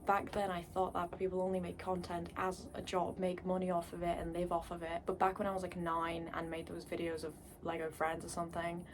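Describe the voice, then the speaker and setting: A young woman whose first language is Polish, talking quietly late at night in her room in a casual vlog monologue. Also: lowish voice, completely flat delivery, a little fast, few pauses, a little hiss or hum. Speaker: YouTuber.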